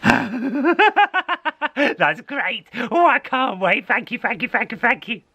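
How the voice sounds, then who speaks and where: A man's voice: extremely high pitch, very animated, slow, creaky, pushed and bright, slightly rough, no room sound, pitched above the performer's own voice, exaggerated voice. Man putting on a greedy, giggling storybook cat. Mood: delighted. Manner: giggling, wheedling, repetitive. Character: greedy gleeful cat craving misery.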